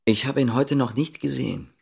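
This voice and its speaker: A man's voice: mid-range voice, brisk, creaky, a little mellow, breathy edge. An actor playing a dutiful, guarded East German policeman in a radio drama, speaking tersely and with restraint.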